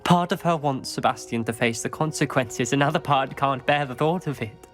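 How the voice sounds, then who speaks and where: A man's voice: mid-range voice, normal speed, fairly bright tone, faint music behind, close to an everyday voice. A man playing a troubled young wizard student. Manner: grave, hesitant, thoughtful. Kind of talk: video game voice acting.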